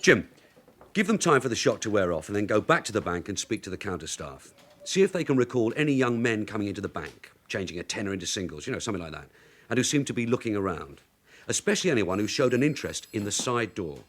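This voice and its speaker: A shrewd veteran police sergeant in a radio crime drama. His low voice moves quickly and swings expressively, with clipped, probing questions and orders. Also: sing-song, a bit bright. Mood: businesslike, dry. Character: shrewd veteran male London detective sergeant. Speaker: actor in a radio detective drama. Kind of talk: naturalistic radio drama acting.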